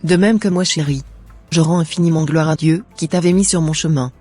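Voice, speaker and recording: A woman's voice: low voice, fairly quick, mellow, slightly rough, dry recording, soft background music. A woman voicing a devout young bride. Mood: grateful. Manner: earnest, prayerful.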